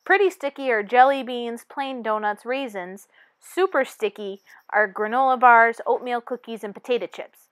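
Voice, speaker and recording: A woman with a high voice reads a children's picture book aloud. Her delivery is expressive and teacherly, and the sound is dry and close, with very little room. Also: moderate pace, no echo. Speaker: storybook reader.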